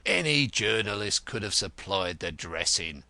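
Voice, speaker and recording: A man's voice: on the low side, very animated, unhurried, vocal fry, pushed and bright, dry recording, full character voice. A man voicing a blunt, sardonic retired male detective in an audiobook, with a growling, mocking manner. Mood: amused, mocking. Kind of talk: audiobook character voice.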